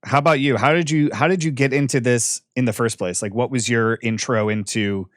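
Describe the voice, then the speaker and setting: A man's voice: mid-range voice, fairly even intonation, a little fast, dry recording, clean studio sound. A man hosting a podcast with playful, polished patter, speaking into a podcast microphone. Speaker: podcast host. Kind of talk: scripted-sounding intro, then casual interviewing.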